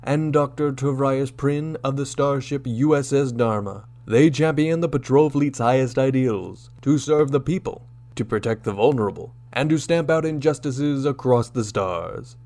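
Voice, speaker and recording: A man's voice: mid-range voice, normal speed, hardly any pauses, close to an everyday voice. A man playing a portentous announcer narrating an old-time space adventure radio serial. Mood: grand, rousing. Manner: dramatic, portentous delivery. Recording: loud music under the voice. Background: music.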